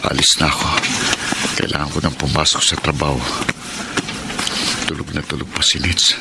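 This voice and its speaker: An actor playing a hurt, suspicious husband in a Filipino radio drama, earnest and aggrieved. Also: male voice, a bass voice, little pitch movement, moderate pace, gravelly, heavy background noise. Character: hurt, suspicious husband confiding his marital troubles.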